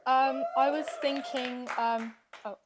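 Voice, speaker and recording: High-voiced hesitant woman, noisy call. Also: steady tone, takes it slowly. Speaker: audience participant. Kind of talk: casual chat over video call. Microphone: video call. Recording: noisy video-call audio with clicks.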